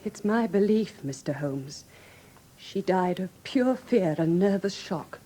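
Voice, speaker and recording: A woman's voice: fairly low pitch, lively intonation, slow pace, dark tone, slightly rough, exaggerated voice. An actress playing a refined, anxious young woman in a classic radio drama, with a Received Pronunciation accent and genteel, earnest, precise diction. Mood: subdued, grave. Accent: English Received Pronunciation. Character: refined, anxious young woman recounting her sister's death.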